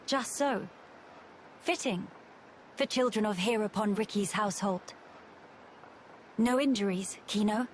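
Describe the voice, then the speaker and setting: Woman acting a slow-spoken, regal noblewoman. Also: mid-range voice, slight background noise, natural voice. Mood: composed interest. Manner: formal, measured diction. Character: poised, regal young noblewoman, grave and formal. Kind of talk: video game cutscene acting.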